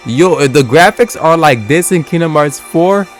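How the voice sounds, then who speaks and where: A man's voice: fairly high pitch, animated, moderate pace. A man giving a casual, slangy, exclamatory live reaction as he streams game trailers. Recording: music behind the voice. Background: game trailer music.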